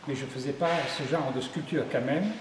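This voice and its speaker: A man's voice: medium pitch, fairly quick. A middle-aged man, explaining his work as a sculptor in an interview. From Ardèche, southern France. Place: indoors in an exhibition room at a tourist office. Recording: echoey reverberant hall, faint background noise.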